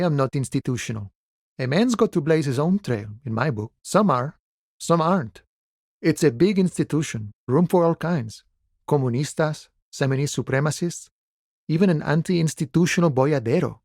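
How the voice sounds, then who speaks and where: A male actor plays a laid-back dock worker who fancies himself a philosopher of the open range. He is a video game character with a middle-pitched male voice. His delivery is folksy and unhurried, addressing listeners like old pals, and the sound is very dry. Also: a little mellow, no echo, natural voice. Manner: unhurried, folksy musing, calls people friend and boya.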